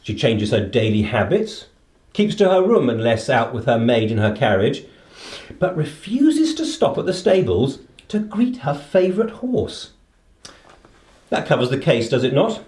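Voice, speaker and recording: An echoing room surrounds a shrewd British detective. He reasons aloud with theatrical authority, rising and falling freely in pitch. The delivery is deliberate and commanding, as in a radio play. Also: big pitch swings, darkish tone. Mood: musing, deductive.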